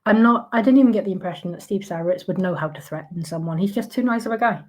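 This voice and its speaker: Croaky-voiced woman ranting. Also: medium pitch, fast, a little mellow. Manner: outraged, sarcastic, with frequent fillers and restarts. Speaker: commentary vlogger. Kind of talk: casual ranting commentary.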